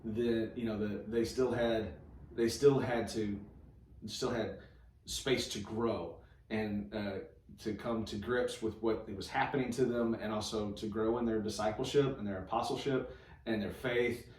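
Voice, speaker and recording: A man's voice: fairly low pitch, fairly even intonation, unhurried, a little mellow. Roomy, distant-miked man hosting church chat. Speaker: host of a church read-along show. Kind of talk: casual conversational Bible discussion. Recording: echoey room, distant mic, faint noise.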